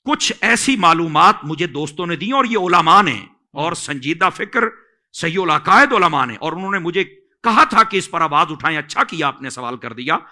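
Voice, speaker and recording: A man's voice: fairly high pitch, lively intonation, moderate pace, sharp tone. A man, a religious scholar giving an emphatic, exhorting admonition and repeating phrases for stress. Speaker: religious scholar (mufti) answering questions.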